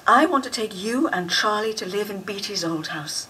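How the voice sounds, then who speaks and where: An actress playing a resolute, restrained mother in a radio drama, firm and measured. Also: mid-range voice, animated, pushed and bright, a little hiss or hum, poor audio.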